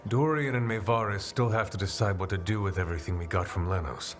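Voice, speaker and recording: A man playing a grave, thoughtful counsellor among rebels in a video game, speaking in a measured, formal way. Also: fairly low pitch, faint music behind, lightly acted. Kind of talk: video game character dialogue.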